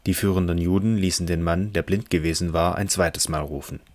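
Male narrator for scripture audio drama: measured, flat intonation, very dry studio recording. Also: low-pitched, no room sound. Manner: measured, matter-of-fact.

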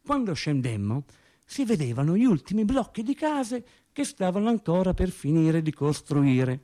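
Animated high-voiced working-class storyteller. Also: male voice. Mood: wry reminiscing. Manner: animated storyteller, dramatic pauses and emphatic swings. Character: working-class man recalling childhood Sundays, wry and naive. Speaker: stage actor. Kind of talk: theatrical first-person monologue, storytelling for radio drama.

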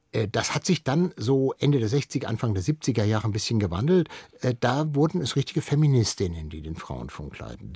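An older man with a slightly husky voice talks as a studio guest. He explains and reminisces conversationally, repeating words for emphasis. The sound is close and dry. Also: medium pitch, a little mellow, no echo. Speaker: studio guest, radio veteran and archive expert. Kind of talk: conversational reminiscing in a radio interview.

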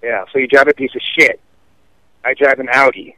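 A man's voice: medium pitch, normal speed, pushed and bright, no room sound, low-quality recording. A man on the receiving end of a prank call, talking bluntly and asking questions in an unscripted phone conversation.

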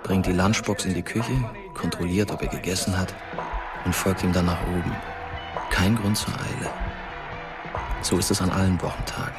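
Guy as deep sober narrator for a crime audio drama, music running underneath. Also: flat delivery, moderate pace, pauses often, natural voice. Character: sober third-person storyteller of a crime drama. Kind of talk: scripted radio drama narration.

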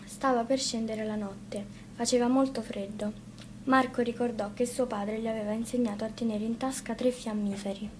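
A woman reading a fairy tale aloud as an expressive storybook narrator. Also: on the high side, moderate pace. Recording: faint noise, live radio reading.

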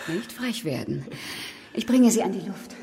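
An actress playing a flirtatious, self-assured, helpful stranger in a radio play, with a playful manner. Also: female voice, medium pitch, expressive, unhurried, gravelly, a crowd in the background. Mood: teasing. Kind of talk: German radio play acting.

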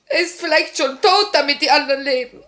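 An actress playing a grieving but resolute young revolutionary in a 1950s German radio play, solemn and theatrical. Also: female voice, high voice, brisk, sharp tone. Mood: anguished. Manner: theatrical, solemn, impassioned delivery. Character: grieving, resolute young woman revolutionary, torn between love and duty.

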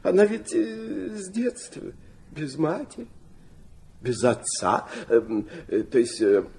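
Radio drama, flighty elderly prince, high-pitched and creaky, rambling fondly with bits of French. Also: male voice, big pitch swings, slow, dark tone, a little hiss or hum, heavily acted. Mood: rambling, animated. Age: older. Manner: hesitant, rambling, stammering, drops in French phrases. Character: doting, rambling old Russian prince, sentimental and flighty. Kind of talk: radio play acting.

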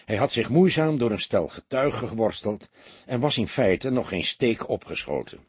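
Husky, expressive male audiobook narrator. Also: lowish voice, moderate pace, dry recording. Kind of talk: expressive scripted crime story narration.

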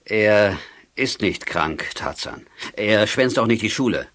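A low male voice, a cautious, troubled grown-up in a youth audio drama. He speaks gravely and haltingly, as one confiding something. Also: animated, fairly bright tone. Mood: grave, hesitant. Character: Herr Glockner, cautious, troubled adult man confiding in teenagers.

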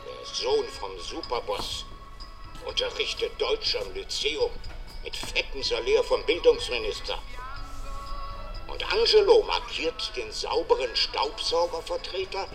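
An actor playing a mafia boss's resentful brother in a radio crime drama, grumbling with bitter sarcasm. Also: male voice, fairly high pitch, a bit bright.

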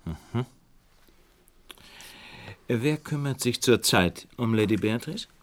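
A man acting in a German radio play as a calm, shrewd gentleman detective who probes in a measured, analytical way. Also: mid-range voice. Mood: probing.

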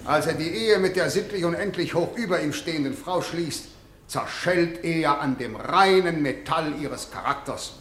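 An actor in a German radio play playing a severe, probing prosecutor who speaks in measured, declamatory, rhetorical phrases. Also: male voice, fairly high pitch, a bit bright, echoey room, slight background noise, close to an everyday voice. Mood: severe, oratorical. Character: formal, probing, severe male prosecutor, eloquently moralizing.

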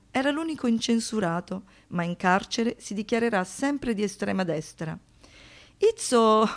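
A woman giving scripted documentary theatre narration with deliberate, expressive, pointed phrasing. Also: medium pitch. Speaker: stage narrator.

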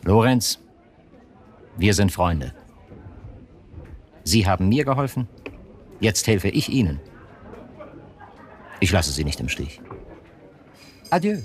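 An actor playing a manipulative, domineering police chief in a German radio drama, speaking in an insistent, measured and cutting way. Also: male voice, low voice, big pitch swings, exaggerated voice. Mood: firm, controlled. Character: cold, coercive male police chief, manipulative.

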